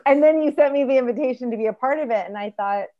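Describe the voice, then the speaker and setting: A woman's voice: high-pitched, quick pace, highs cut off. A woman in her forties, a panel guest telling a story in a chatty way, laughing along. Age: 40s. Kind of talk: casual panel chat.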